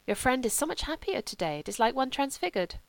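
A woman voicing a kindly, naive foreign monk in an audiobook, with a gentle manner. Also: high voice, big pitch swings, fast talker, gravelly, dry recording, voice pushed up, exaggerated voice. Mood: gentle, pleased. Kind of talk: audiobook character reading.

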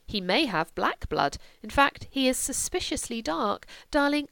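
A woman's voice: high voice, sing-song, measured pace, gravelly, a bit bright, no room sound, voice pushed up, exaggerated voice. A woman voicing a stern, devout older aristocratic mother who speaks in a cool, reproving way. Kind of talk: audiobook character voice.

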